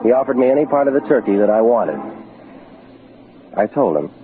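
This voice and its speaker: An actor playing a hard-boiled private detective in a 1940s radio drama, clipped, slangy and sardonic. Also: male voice, mid-range voice, animated, fairly quick, slight background noise, close to an everyday voice. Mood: wry narrating. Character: wisecracking hard-boiled private detective, brash, world-weary man. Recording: muffled 1940s radio broadcast with music.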